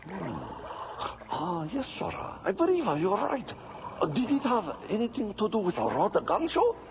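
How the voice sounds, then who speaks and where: A radio-drama alien warlord who has gone sweet and childlike. He is very high-pitched and unhurried, doddering and effusive, on a noisy old recording. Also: huge pitch swings, vocal fry, lo-fi sound, full character voice. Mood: dreamy, genial. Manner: doddering, effusive, full of vague murmurs. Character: male alien warlord turned dreamy, genial and childlike.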